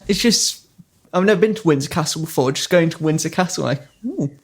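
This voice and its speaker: A man's voice: a little high, animated, brisk, slightly rough. A man chatting casually on a podcast, telling rambling anecdotes with a laugh here and there. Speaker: podcast co-host. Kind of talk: casual storytelling.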